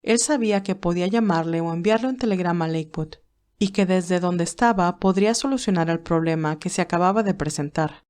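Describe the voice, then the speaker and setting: A woman narrator reading a romantic drama as a scripted audiobook, in a medium-pitched voice. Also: brisk, a little mellow.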